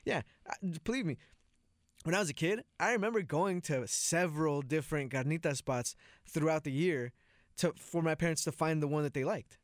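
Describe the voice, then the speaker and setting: A man's voice: fairly high pitch, normal speed, dry recording, studio quality. A man co-hosting a casual food chat, agreeable and conversational, repeating himself for emphasis. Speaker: podcast co-host.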